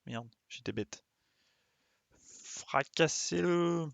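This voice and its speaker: A man's voice: a little high, animated, very slow, creaky, dry recording, highs cut off. A man giving casual live gameplay commentary, thinking aloud and muttering to himself with small playful sound effects. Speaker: game streamer.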